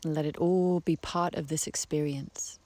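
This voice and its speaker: A woman leading a guided meditation in a soft, breathy voice, speaking gently with long unhurried pauses. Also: low-pitched, steady tone, no room sound. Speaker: guided relaxation teacher. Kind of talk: guided meditation, soothing slow instruction. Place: outdoors beside a harbour. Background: birdsong, trickling stream, breeze.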